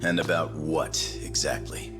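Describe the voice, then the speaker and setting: An actor playing a sneering, bitter yakuza hothead with a mocking, profane way of talking in an English game dub. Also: male voice, a bass voice, little pitch movement, measured pace, music in the background.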